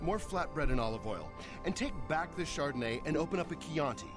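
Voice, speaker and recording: A man acts out a pompous restaurant critic from a video game, full of his own importance. His highish voice swoops up and down with haughty, demanding emphasis, over clearly audible music. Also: expressive, fairly quick, lightly acted. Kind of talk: video game character acting.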